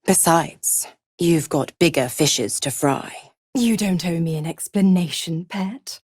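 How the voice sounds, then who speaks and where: A woman's voice: fairly low pitch, takes it slowly, a little mellow, husky, no room sound, studio quality. A woman playing a mocking, scheming female devil in a video game, theatrical, teasing and condescending. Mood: taunting, condescending. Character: seductive, mocking, scheming female devil, smugly cruel patron. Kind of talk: video game character acting.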